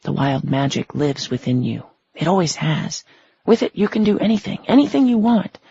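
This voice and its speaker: A woman plays a young male fallen angel, protective and devoted, who speaks earnestly and tenderly. The voice is husky with some fry, on a dry, slightly dull recording. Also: deep voice, big pitch swings, normal speed, mellow, heavily acted.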